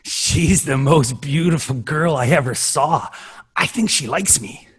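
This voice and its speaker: A man's voice: a little high, unhurried, slightly rough. A man playing an earnest, lovestruck nerdy young boy in a sitcom table read, eager and naive. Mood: smitten, eager.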